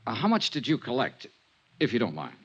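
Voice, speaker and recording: A man's voice: mid-range voice, huge pitch swings, brisk, a bit bright, husky, exaggerated voice. An American man acting in an old radio drama as a shrewd, unflappable insurance investigator, with a clipped, wry, businesslike delivery. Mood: cool, probing. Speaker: radio actor playing lead detective. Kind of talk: 1950s radio detective drama acting, first-person narration. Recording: muffled, noisy 1950s radio recording.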